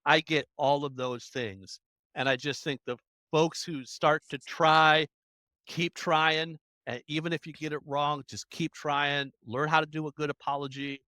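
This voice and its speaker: An adult interview guest speaking in animated, emphatic bursts. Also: mid-range voice, unhurried, no room sound. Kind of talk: conversational interview.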